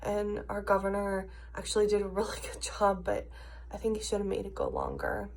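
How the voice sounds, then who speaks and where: Casting: American woman, early 30s, raspy with vocal fry, under the weather, frustrated and emphatic in an informal video diary. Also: medium pitch, level tone, fairly quick, darkish tone. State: ill, with a cough and congested sinuses. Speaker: video diarist. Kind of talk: casual personal rant to camera.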